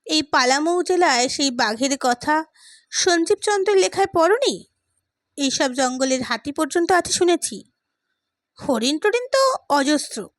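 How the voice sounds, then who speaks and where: A woman's voice: high voice, big pitch swings, measured pace, long pauses, no echo, studio quality. A woman voicing a playful, teasing, confident young man in an audio story.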